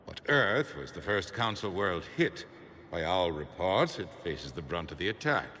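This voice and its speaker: A man playing a proud, insistent human politician in a video game, forceful and oratorical. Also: sits low, very animated, moderate pace, slight background noise, full character voice. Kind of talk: dramatic video game acting. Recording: echoing hall, faint background ambience.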